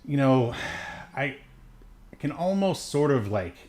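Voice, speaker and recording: A man co-hosting a podcast, chatting casually about films in a rambling, halting way with drawn-out musing. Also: mid-range voice, sing-song, a little mellow. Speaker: podcast co-host reviewing films. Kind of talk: casual film-review chat.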